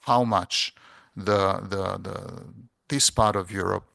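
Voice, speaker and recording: A man closing a conference presentation, speaking haltingly with frequent restarts. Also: deep voice, fairly even intonation, gravelly, a bit bright, no echo, clean studio sound.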